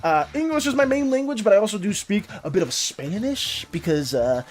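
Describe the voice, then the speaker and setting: A streamer chatting casually with viewers in an animated, rambling way and reacting to chat as it comes in. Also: medium pitch, fairly quick. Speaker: art and game streamer.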